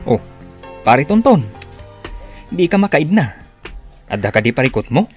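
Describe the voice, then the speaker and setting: A man's voice: on the high side, sing-song, a little slow, faint music behind. An actor playing a young man's loyal buddy in a radio drama, blunt and full of advice. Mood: advising.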